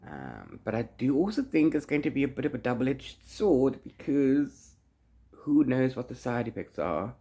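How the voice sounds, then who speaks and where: A man's voice: mid-range voice, sing-song, moderate pace, dark tone. A man chatting casually as a book vlogger, rambling along in a playful, theatrical way. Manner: rambling, trails off into blah blah and la-la fillers. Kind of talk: casual, chatty reading vlog.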